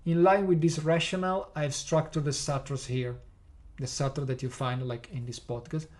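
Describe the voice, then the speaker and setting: A man's voice: a little high, fairly even intonation, normal speed, darkish tone. A man with an Italian accent, Italian his first language, hosting a podcast lecture and explaining step by step with hesitant fillers. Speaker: podcast host.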